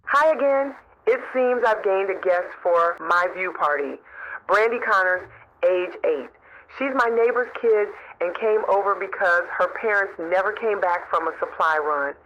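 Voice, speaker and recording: Muffled, faintly noisy call-in audio carries a woman playing a brash, soft-hearted citizen reporter in a radio drama. She is blunt, folksy and irreverent, at a middling pitch. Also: unhurried. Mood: brash, wry. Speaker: caller.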